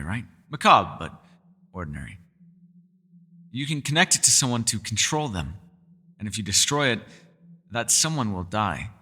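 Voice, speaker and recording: A man's voice: fairly low pitch, bright, forceful tone, big-room sound. A man voicing a centuries-old elf scholar in an ASMR roleplay, courteous and meticulous, with formal wording and small hesitant stammers. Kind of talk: ASMR roleplay voice acting, one-sided dialogue.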